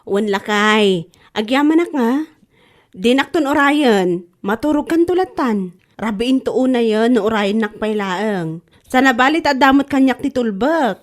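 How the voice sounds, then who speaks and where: A woman's voice: on the high side, expressive, measured pace. A woman with a Filipino accent playing a long-suffering, plaintive wife and mother in a comedy radio drama. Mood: soft, weary.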